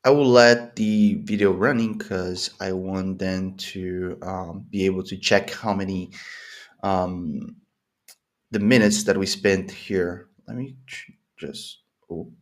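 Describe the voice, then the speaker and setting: A man's voice: low voice, fairly even intonation, slow pace. A man in his thirties, not a native English speaker, rambling through a casual coding session with lots of fillers and restarts, at a desk in a home office. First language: Portuguese or Spanish speaker; English not first language. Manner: rambling, thinking aloud with many fillers and restarts. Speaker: coding vlogger. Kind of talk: casual live screen-recorded coding commentary.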